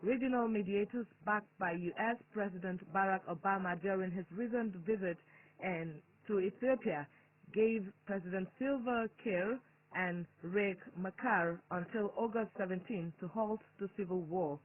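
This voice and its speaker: A newsreader with a Nigerian accent reads scripted news in a formal, measured way over a shortwave radio broadcast. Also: mid-range voice. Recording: muffled narrow-band digital shortwave radio broadcast.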